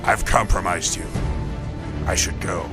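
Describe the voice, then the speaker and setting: Grim hard-boiled vigilante narrator, really deep, comic book audio drama, loud music under it. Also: male voice, fairly even intonation, measured pace. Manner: terse, grave, hard-boiled. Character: grim brooding male vigilante detective, inner monologue.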